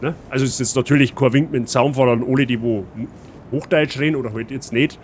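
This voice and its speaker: A man's voice: medium pitch, steady tone, quick pace. Bavarian guy chatting w chat during a game stream, noisy audio. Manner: chatty, replies to chat while playing. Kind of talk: casual let's-play chat.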